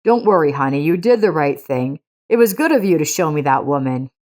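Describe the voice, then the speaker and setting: A woman voicing a gentle, reassuring father in a narrated story, speaking in a soothing way. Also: low voice, sing-song, a little fast.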